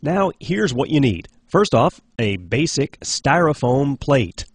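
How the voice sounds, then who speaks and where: Male DIY host with a lively, varied pitch. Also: takes it slowly, no room sound. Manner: clear step-by-step instructional delivery. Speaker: host of a DIY project show. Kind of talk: scripted how-to narration.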